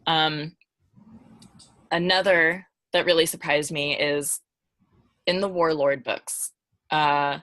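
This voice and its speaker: A woman with a low voice in a close, dry recording. She speaks casually as a panelist in a discussion, working out her thoughts aloud and pausing to find the word she wants. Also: fairly even intonation, fairly bright tone, no room sound. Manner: informal, thinking aloud, searching for words. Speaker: podcast panelist.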